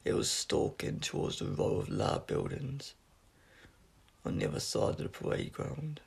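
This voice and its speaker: A man reading a science fiction story aloud as scripted narration, in a gravelly, husky voice with an unpolished, amateur delivery. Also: lowish voice, huge pitch swings, unhurried. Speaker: audiobook reader.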